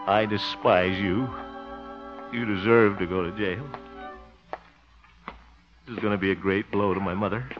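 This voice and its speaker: An actor playing a blustery, soft-hearted, theatrical man in a 1950s radio comedy. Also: on the low side, lightly acted. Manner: theatrical, exclamatory. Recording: dull old radio recording, music.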